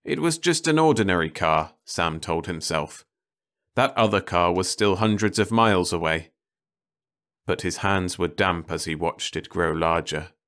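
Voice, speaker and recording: A man's voice: low-pitched, a bit bright, clear, resonant tone. A man narrating a short story as a scripted audiobook.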